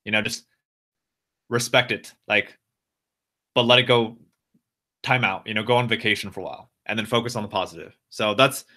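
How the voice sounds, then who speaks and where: A man's voice: fairly low pitch, fairly even intonation, normal speed, pauses often, sharp tone. An American man hosting a casual live stream, talking straight to his viewers and reading out their chat comments in a loose, rambling way with many restarts.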